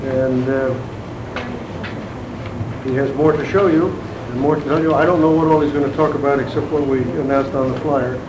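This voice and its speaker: A man, a professor, introducing a guest speaker to his class in a relaxed, joking way. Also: fairly high pitch. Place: university classroom. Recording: distant, echoey room with noise.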